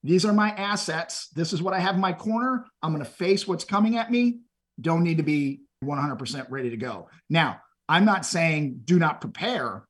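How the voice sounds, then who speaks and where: A man's voice: a little high, animated, moderate pace, husky. A middle-aged man from Texas, telling stories and giving motivational advice in an earnest, conversational way as a podcast guest. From Texas, USA. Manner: earnest, motivational, repeats words when starting phrases. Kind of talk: conversational storytelling.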